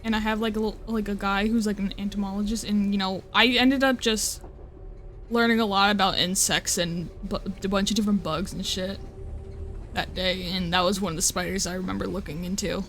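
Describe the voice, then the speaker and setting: A woman's voice: mid-range voice, fairly even intonation, brisk, fairly bright tone, a little hiss or hum. A young woman streaming live, chatting casually and rambling, often cutting herself off and swearing. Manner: rambling, swearing, with self-interruptions and laughs.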